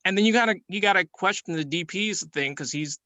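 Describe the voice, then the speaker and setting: A man's voice: high-pitched, fairly quick, pushed and bright, no room sound. A man in a casual group discussion, colloquial and noncommittal, restarting as he reasons aloud.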